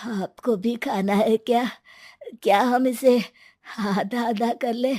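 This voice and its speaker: A woman voicing a spoiled, careless rich young man in an animated story, with a casual, dismissive way of talking. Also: on the high side, slow pace.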